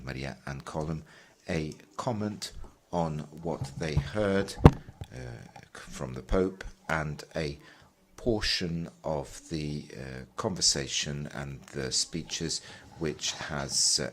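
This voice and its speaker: A man working as a simultaneous interpreter, relaying a panel talk in a measured way, pausing with fillers as he keeps up. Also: extremely low pitch, pushed and bright, a little hiss or hum. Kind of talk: live conference interpretation.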